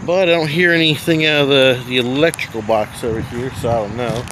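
A man's voice: mid-range voice, fairly even intonation, brisk, background noise. A man in his forties giving casual, wry walking commentary while he films around an empty building. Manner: chatty, wry asides to the viewer. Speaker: vlogger exploring abandoned places. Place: outdoors around an empty building, later in a car. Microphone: handheld phone camera.

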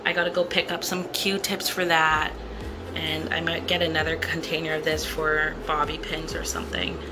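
A woman chatting casually as she shows off her freshly cleaned bathroom at home, upbeat and repeating herself. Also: on the low side. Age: adult with a son. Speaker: cleaning vlogger. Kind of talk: casual show-and-tell vlog. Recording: music playing behind her voice.

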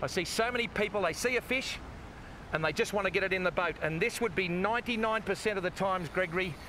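A man's voice: high voice, fast, pushed and bright, rough recording. An older Australian man hosting a fishing show, talking to viewers in a folksy, exclamatory way from a beach. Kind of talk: enthusiastic outdoor commentary. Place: outdoors on a sandy beach by the sea. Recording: outdoor wind and water noise.

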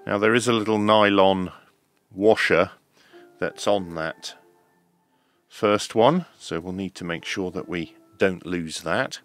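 A man with a low voice talks through a hands-on tutorial. He explains each step slowly and in a measured way. Music plays behind his voice. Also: fairly bright tone. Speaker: host of an electronics teardown channel.

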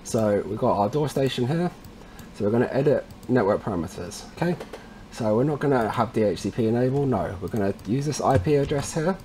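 A man presenting a casual step-by-step software tutorial in an informal way, addressing viewers as guys. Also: mid-range voice, animated, a little fast, slight background noise. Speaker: tutorial presenter, installer trade.

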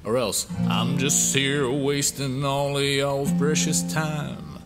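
An actor playing a cocky, playful vampire country singer, drawling teasing studio banter in an audio drama. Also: male voice, mid-range voice, little pitch movement, takes it slowly. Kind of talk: acted studio banter on tape. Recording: loud guitar music behind the voice.

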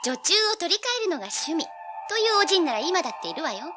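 A woman's voice: sits high, big pitch swings, quick pace, background noise. An actress playing a cheeky, feisty young female sidekick in an audio drama, sassy and girlish. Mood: teasing. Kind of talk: animated audio drama acting.

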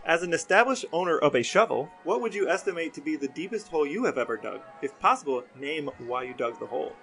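A middle-aged man speaks as a podcast co-host, with a somewhat high voice. His style is casual and conversational, and faint music sits behind him. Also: animated, fast talker, fairly bright tone. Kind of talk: casual chat and interview questions.

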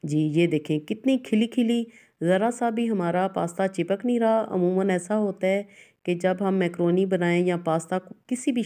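Female recipe narrator, mid-range and mellow voice, instructive voice-over delivery. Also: medium pitch. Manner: instructive, addresses viewers directly. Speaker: cooking show host.

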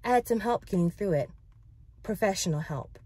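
Young female idol from a novel, read by a woman, frank, resilient, self-assured, medium pitch. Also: lively intonation, normal speed, darkish tone, slightly rough, no echo. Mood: reassuring. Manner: frank, jokey bravado. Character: candid, resilient young woman pop idol, brashly confident. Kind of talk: dramatic book read-aloud.

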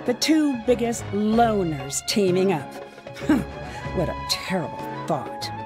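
A woman's voice: medium pitch, sing-song, takes it slowly. An actress playing a proud, bitter young woman researcher in a game, mocking and scornful, with short dry laughs. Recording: game audio with music.

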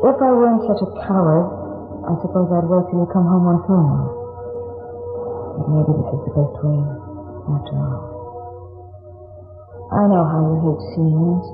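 A sorrowful, apologetic letter writer speaks hesitantly in a high voice. It is old radio drama, tinny and echoing, with loud music behind. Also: male voice. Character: sorrowful letter writer confessing unfaithfulness to a soldier.

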